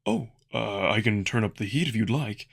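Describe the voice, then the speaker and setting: A man's voice: fairly low pitch, lively intonation, moderate pace, gravelly, slightly rough, heavily acted. A man voicing an earnest, eager male alien wolf in a visual novel, speaking emphatically with formal wording and a touch of awkwardness. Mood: gently attentive. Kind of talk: visual novel character dub.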